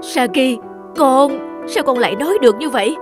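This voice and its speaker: Woman playing a high-voiced, devoted cartoon mother. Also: moderate pace, over music, natural voice. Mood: hurt. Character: devoted, pleading mother of an injured girl. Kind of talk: cartoon drama acting.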